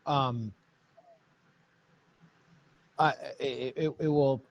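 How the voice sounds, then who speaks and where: A man's voice: medium pitch, fairly even intonation, extremely slow, low-quality recording. A man talking unscripted as the interviewer.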